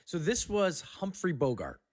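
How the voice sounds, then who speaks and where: A man's voice: a little high, sing-song, unhurried. A man hosting a casual podcast chat, leading the others through a guessing game.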